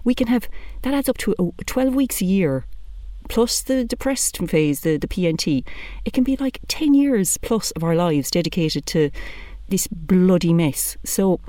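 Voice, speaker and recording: A woman's voice: on the low side, big pitch swings, unhurried, mellow, no room sound. A woman in her thirties with an Irish accent, doing a chatty, blunt stand-up monologue. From Ireland. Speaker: comedian.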